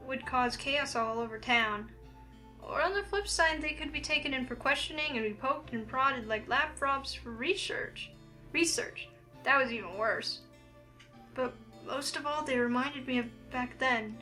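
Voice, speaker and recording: Woman playing an earnest high-voiced young heroine. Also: sharp tone. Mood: wistful. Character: curious, introspective young woman heroine narrating her thoughts. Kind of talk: visual novel read-aloud.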